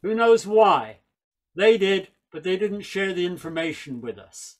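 A man's voice: sits high, big pitch swings, measured pace. An older man from Northern Ireland, raised in England, giving a wry, chatty storytelling talk over a video call from a small room at home with a headset mic. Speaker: folk singer and speaker.

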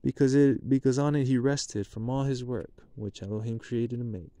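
Man leading prayers outdoors at night, mellow tone, rises into loud chanted blessings, handheld camera close by. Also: medium pitch, steady tone, moderate pace. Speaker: leader of a Sabbath blessing. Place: outdoors at night at a picnic table.

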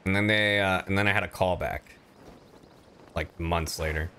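A man streaming a game and chatting casually, animated and often halting mid-thought. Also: sits low, soft background music. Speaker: streamer and voice actor. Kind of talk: casual livestream chat over gameplay.

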